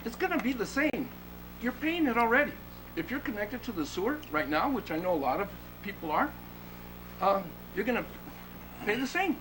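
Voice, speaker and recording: A man with a high voice acting as city manager, walking a resident through the details in a measured, explanatory tone. The council chamber recording carries a lot of background noise. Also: sing-song. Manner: measured and explanatory, with fillers and restarts. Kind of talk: answering questions at a council meeting. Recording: noisy meeting-room recording.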